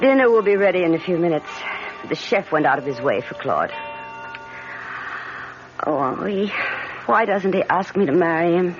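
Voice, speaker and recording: A woman's voice: lowish voice, expressive, normal speed, long pauses, over music, natural voice. An actress playing a playful, haughty young society woman in a radio drama, teasing and easily impatient. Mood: wistful, snappish. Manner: teasing, imperious. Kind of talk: dramatic radio play acting. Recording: muffled, noisy radio drama recording.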